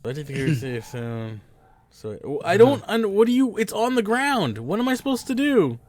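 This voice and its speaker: A man hosting a podcast, chatting casually while gaming, rambling and self-mocking, with a hoarse, stuffy voice from losing it. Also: high-pitched, very animated, normal speed, a crowd in the background. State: losing his voice, stuffy sinuses. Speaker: podcast host.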